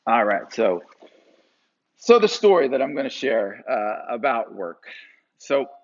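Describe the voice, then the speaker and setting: A man in his forties from Pennsylvania, telling a personal story to a live audience with animated delivery and frequent fillers. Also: mid-range voice, measured pace, lo-fi sound. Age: 40s.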